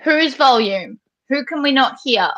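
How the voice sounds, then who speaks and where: Loud, lively woman. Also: sits high, a little slow, dull audio. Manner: loud, excitable, chatty. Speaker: sewing pattern designer hosting a live sew-along. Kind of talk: casual live-stream chat. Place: home sewing room. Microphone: headphones with mic on a video call.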